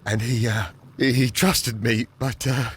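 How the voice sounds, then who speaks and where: Nervous, stammering, haunted councillor. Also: male voice, medium pitch, fairly even intonation, measured pace, husky. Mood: nervous, apologetic. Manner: heavy stammer, hesitant, apologetic. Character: nervous, haunted, guilt-ridden male councillor and archaeology enthusiast. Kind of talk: scripted video game acting.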